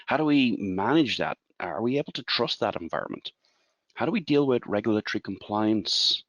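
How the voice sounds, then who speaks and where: An Irish man in his forties presents a webinar as a consultant, explaining in a measured way and asking rhetorical questions. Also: lowish voice, animated, fairly bright tone, dry recording, highs cut off. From Ireland.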